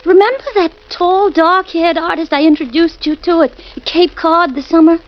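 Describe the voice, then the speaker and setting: An actress playing a nervous young wife shaken by a nightmare, breathless and pleading, in 1940s radio acting style. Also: female voice, very high-pitched, steady tone, normal speed. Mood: earnest. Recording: muffled old radio recording with hiss.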